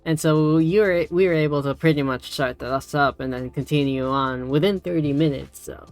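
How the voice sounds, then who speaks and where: A woman's voice: sits low, normal speed, a little mellow, soft background music. A woman co-hosting a casual tutorial as the learner, with a hesitant, easygoing way of talking. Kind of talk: casual chat, tutorial recap.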